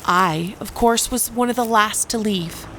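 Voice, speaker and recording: A woman playing a wounded preteen camp girl, narrating a horror story in the first person in a deliberate, detached, dramatic way. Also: medium pitch, bright, forceful tone, a little hiss or hum. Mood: wary, resolute. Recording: music behind the voice.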